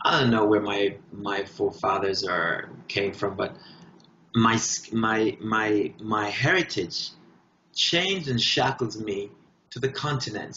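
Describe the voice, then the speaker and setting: A man speaking as an interview guest, giving impassioned, emphatic answers in short, deliberate, declarative phrases. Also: on the low side, fairly bright tone, slight background noise. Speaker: guest artist. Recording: dull, roomy echoing sound.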